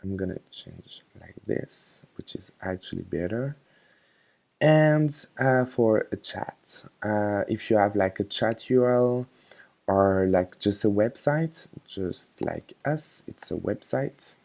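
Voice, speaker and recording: A man casually narrating a software tutorial, talking informally and a little hesitantly as he instructs. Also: on the low side, lively intonation, takes it slowly, gravelly.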